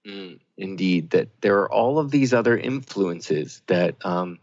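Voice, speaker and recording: A man's voice: sits low, animated, measured pace, a little mellow, no room sound. Man co-hosting radio talk, slightly dull audio. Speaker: radio show co-host. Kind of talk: reflective spiritual talk radio discussion.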